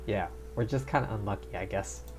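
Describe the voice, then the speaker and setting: A man's voice: lowish voice, fairly quick, darkish tone. A man streaming a video game live, chatting casually and reacting aloud with laughter. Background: faint game music.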